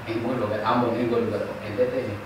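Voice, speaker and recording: A man's voice: on the low side, little pitch movement, rapid-fire, background noise. A man from eastern Indonesia hosting a casual group chat, asking questions with lots of fillers and restarts. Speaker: host. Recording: echoey room, mic at a distance.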